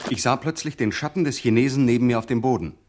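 An actor playing a haunted first-person narrator in an experimental radio play, with a literary, incantatory way of listing images. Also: male voice, mid-range voice, fast, very noisy, natural voice. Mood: urgent. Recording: 1970s radio play with music behind. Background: music.

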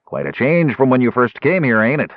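A man's voice: mid-range voice, sing-song, a little fast, dry recording, phone-quality sound, voice pushed up, close to an everyday voice. A man voicing a coarse, bullying dwarf taskmaster in a fantasy story, jeering and cackling with menace. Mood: leering, gleeful.

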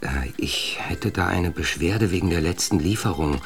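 A man's voice: sits low, normal speed. A man playing a wary, reluctant ordinary family man in a radio play, speaking hesitantly. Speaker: actor in a radio drama. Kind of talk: German radio play acting.

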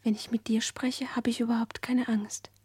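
An actress in a radio play playing a trusting young girl who confides secretively in a breathy whisper. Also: a little high, level tone, normal speed, a little mellow, no echo. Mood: secretive, confiding. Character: furtive, trusting young girl planning to disappear. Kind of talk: German radio play acting.

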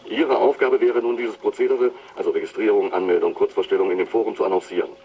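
A man's voice: lowish voice, fast, mellow, close to an everyday voice. An actor playing a brusque, pompous male company boss in a radio drama, officious and commanding. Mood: officious, demanding. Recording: dull lo-fi sound with faint noise.

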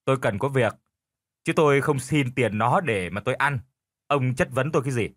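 A man voicing a bitter older wife in a storybook, sharp-tongued, sarcastic and full of reproach. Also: medium pitch, expressive, moderate pace, no room sound, clean studio sound. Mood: defensive.

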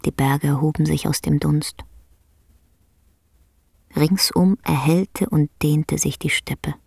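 A woman narrating a literary radio drama in a solemn, measured way, with a breathy, half-whispered voice. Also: low-pitched, fairly even intonation, a little mellow, dry recording, studio quality, exaggerated voice. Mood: solemn, sorrowful. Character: solemn, tender storyteller of a sad tale.

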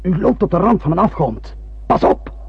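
An actor in a radio play voicing a mysterious, ominous prophet, theatrical and insistent, firing off rhetorical questions and warnings. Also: male voice, high voice, sing-song, moderate pace, gravelly, a little hiss or hum, full character voice. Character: mysterious, ominous prophet warning young spacemen off a doomed voyage. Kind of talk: radio drama acting. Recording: dull, dry old radio play recording.

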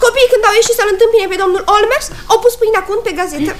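A very high, girlish voice of a playful young woman in love. She is arch and quick-witted, teasing her way through lines in an expressive classic radio comedy style. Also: a little hiss or hum. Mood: flustered, evasive.

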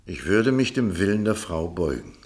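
A reserved doctor in a radio drama, his voice fairly low. He speaks formally and guardedly, measuring his sentences and holding firmly to his principles. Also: very animated, normal speed, a little mellow. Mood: unyielding. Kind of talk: German radio play dialogue.

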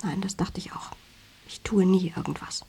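An actress playing a lonely, needling, attentive wife in a radio drama, half-whispering in a soft, coaxing, wounded way. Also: female voice, fairly low pitch, moderate pace, vocal fry, husky. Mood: needling, hurt.

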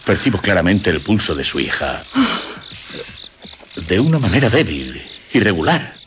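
A man's voice: deep voice, big pitch swings, moderate pace, a little hiss or hum. An actor playing a middle-aged, courtly aristocratic father in a Castilian Spanish radio drama, with formal, gallant diction. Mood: courteous concern. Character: courtly, kindly aristocratic father of a young woman.

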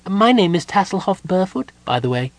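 A man's voice: high voice, big pitch swings, normal speed, no echo, voice pushed up. A man voicing a cheerful, polite, chatty small male kender wanderer in a fantasy audiobook. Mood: hurried, eager. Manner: polite, eager, apologetic.

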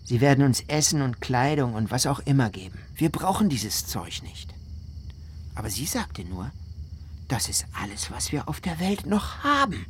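A man's voice: medium pitch, very animated, moderate pace, natural voice. An actor playing a weary, indignant husband from New Orleans who survived a flood, telling his story as radio drama testimony. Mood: weary, recounting. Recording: faint noisy ambience behind the voice.

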